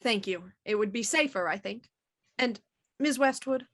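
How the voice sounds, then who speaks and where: A woman's voice: on the high side, normal speed, a bit bright. A woman playing a shrewd, unflappable police inspector in a radio murder mystery, with a dry, self-assured manner. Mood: confident, deducing. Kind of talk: audio drama acting, Victorian murder mystery radio program.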